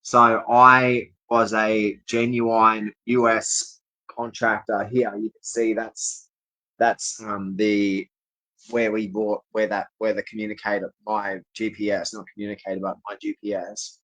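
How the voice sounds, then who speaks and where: A man's voice: lowish voice, little pitch movement, a little slow, bright, forceful tone, rough recording. A man from Australia giving a rambling, insistent personal monologue to viewers, often repeating his declarations. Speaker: vlogger speaking to camera.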